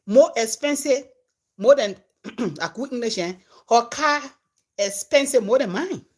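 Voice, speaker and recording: A Liberian woman, a comedian and content creator, telling her followers a story in a casual, animated way that swings from loud to hushed. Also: on the high side, measured pace.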